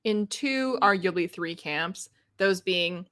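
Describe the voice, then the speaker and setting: A woman's voice: lowish voice, takes it slowly, a bit bright, no echo, studio quality. A woman co-hosting a podcast in a casual book discussion, talking in a wry, analytical, conversational way. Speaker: podcast co-host.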